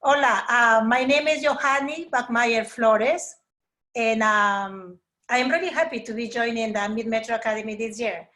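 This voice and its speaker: A woman's voice: on the high side, normal speed. A woman from Peru with a Peruvian Spanish accent, a native Spanish speaker, introducing herself as a Spanish teacher on a video call and searching for words now and then. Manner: warm and eager, searching for words. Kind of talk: school open house presentation.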